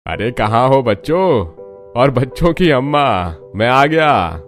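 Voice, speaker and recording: A man's voice: medium pitch, lively intonation, slow. A man playing an affectionate, hearty father in a cartoon family story. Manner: hearty, doting. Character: affectionate, jolly father returning home to his children. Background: music.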